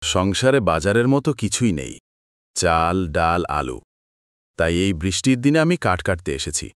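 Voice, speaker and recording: A man's voice: low voice, sing-song, unhurried, dry recording, clean studio sound. A man voicing a poor, humble village woodcutter in a cartoon, speaking earnestly and plaintively. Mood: pleading, humble. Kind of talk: Bengali moral-story cartoon voice acting.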